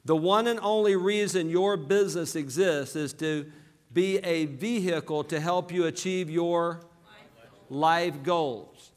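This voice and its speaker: A man from southern Alabama with a Southern American accent giving an energetic motivational business seminar, calling out to his audience for responses. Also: fairly high pitch, takes it slowly. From southern Alabama, USA. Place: large hall with live audience. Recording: reverberant hall.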